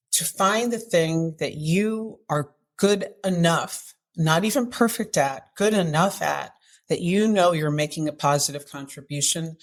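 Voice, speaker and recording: A woman speaking as an interview guest and coach, giving direct, emphatic advice in conversation and often posing rhetorical questions. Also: on the low side. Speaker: guest author and activism coach.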